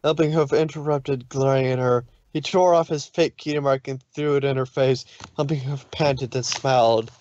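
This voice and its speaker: Guy narrating a fanfic, dramatic but stumbly, regular pitch, dull dry mic. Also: steady tone, normal speed, few pauses, a little mellow, no room sound. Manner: dramatic storytelling, stumbles over words. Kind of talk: scripted narration of fan fiction.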